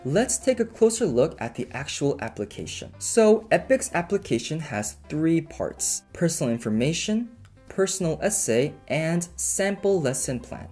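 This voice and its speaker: A young man in his twenties giving an upbeat, scripted explainer to camera from a small bedroom. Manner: upbeat, clear and instructive, lively pitch. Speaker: vlogger explaining a teaching program. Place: small bedroom apartment, indoors.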